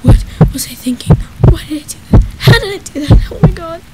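A woman's voice: extremely high pitch, expressive, a little fast, pitched above the performer's own voice. Woman voicing a sweet, gushing, lovestruck young man. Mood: panicked. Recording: noisy recording with rainy ambience.

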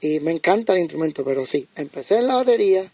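A man tells stories from his life at an easy, conversational pace. His voice sits high for a man, with a gravelly, fried edge and a mellow, dark tone. He talks in a dry room and often circles back over his own words. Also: lively intonation, fast talker, no echo. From United States (Connecticut). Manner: storytelling, repeats words as he thinks. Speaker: guest, music producer. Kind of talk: casual interview chat.

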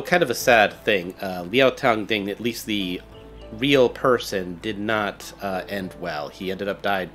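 A chatty man talks through a game as he plays, self-deprecating and easygoing. His pitch jumps around a lot as he talks. Soft game music plays faintly under his voice. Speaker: let's play host. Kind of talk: casual game commentary.

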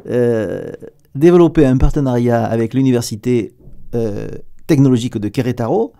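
A middle-aged man with a southern French accent talks as a guest in a radio studio, gesturing as he speaks. His voice is gravelly and dark, and he often hesitates and repeats words before moving on. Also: mid-range voice, normal speed. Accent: southern French, Provençal. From southern France. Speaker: guest, company director. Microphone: table mic with headphones.

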